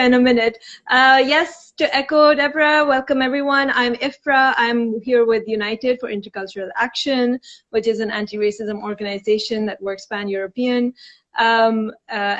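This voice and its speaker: A woman's voice: a little high, fairly bright tone. A woman hosting a live online talk in a friendly, thoughtful way. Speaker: host from the organizer. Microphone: video call. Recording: dull lo-fi live stream audio.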